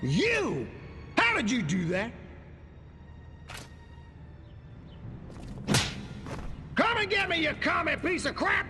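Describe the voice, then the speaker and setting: A paranoid, hot-tempered army warlord in a video game. His voice is high, forceful and gravelly, bombastic in delivery, ringing in a large hall over faint music. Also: huge pitch swings, normal speed, full character voice. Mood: furious. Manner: bombastic, barking. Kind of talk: video game cutscene acting.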